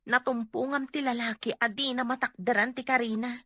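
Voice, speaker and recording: An earnest young Ilocano woman played by an actress in an emotional drama. Her voice is high and bright with a husky grain, recorded close and dry. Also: fairly even intonation, fairly quick, no echo. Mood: exasperated. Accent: Filipino, Ilocano. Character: emotional young woman, daughter and loyal friend. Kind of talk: Ilocano melodrama acting.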